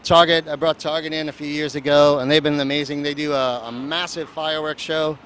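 A man's voice: on the high side, level tone, brisk, a bit bright. A man, a festival producer, rambling and joking through a casual street interview outdoors. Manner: rambling, joking, lots of fillers. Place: outdoors at a seaport. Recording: faint street noise and traffic.

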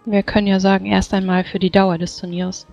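A woman playing a composed war veteran in a tabletop roleplay, probing with polite, formal, measured speech. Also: mid-range voice, flat delivery, vocal fry, darkish tone, lightly acted. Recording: dull, muffled voice-chat audio. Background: background music.

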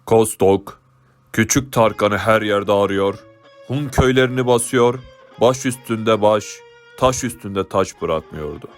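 A man playing the solemn storyteller of an epic cartoon legend, narrating with measured dramatic phrasing. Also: lowish voice, lightly acted. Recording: clearly audible music behind narration.

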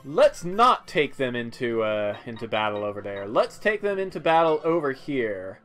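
A man's voice: mid-range voice, very animated, normal speed, fairly bright tone. A man giving casual, offhand video game commentary with wry asides. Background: game music.

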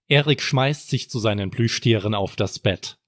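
A man's voice: lowish voice, moderate pace, dry recording, clean studio sound. A man narrating a scripted bedtime story for children as a storyteller.

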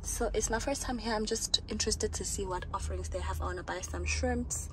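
A woman's voice: medium pitch, fairly quick, noisy background. Chatty young vlogger woman. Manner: chatty, laughs often, talks to camera. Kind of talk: casual vlog chat while running errands. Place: car and shops, out and about.

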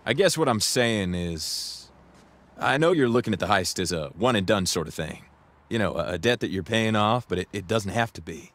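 Easygoing young crime boss, low pitch, wry, cocky, casual, video game drama. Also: male voice, lively intonation, moderate pace, fairly bright tone. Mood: sincere. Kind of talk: video game cutscene acting.

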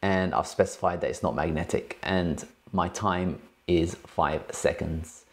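A man's voice: deep voice, steady tone, a little slow. A man hosting a tech tutorial in a casual, informal, slangy way, explaining things step by step. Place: indoors at a cluttered work desk.

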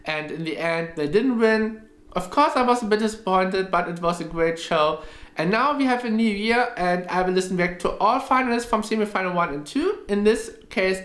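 A man's voice: high voice, a bit bright. Man reacting chattily in a roomy room. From Germany. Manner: chatty, enthusiastic, short remarks over the music. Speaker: reaction video host. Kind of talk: casual reaction commentary. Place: home room with a flag on the wall. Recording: roomy home room, faint music behind.

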